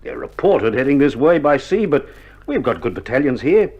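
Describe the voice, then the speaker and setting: A man's voice: medium pitch, big pitch swings, normal speed, a little mellow, slightly rough. An actor playing a wry, reassuring Australian army male nurse in a wartime radio drama, with laconic, joking banter, heard on an old vinyl record. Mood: chatty, wry. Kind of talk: 1960s radio drama acting. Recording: old vinyl recording, dull band, faint noise.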